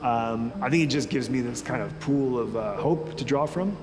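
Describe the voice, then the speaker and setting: A man from Israel, founder of an urban farming company, explaining his mission in an earnest, measured way. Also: mid-range voice. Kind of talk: interview-style talk to camera. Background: background music.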